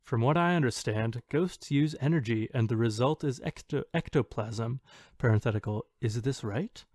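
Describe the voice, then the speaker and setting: A man talks as a casual podcast co-host with a dry, hesitant delivery. His pitch is average for a man, and the recording is dry, with little room sound. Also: moderate pace, darkish tone, no echo. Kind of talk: casual chat and reading posts aloud.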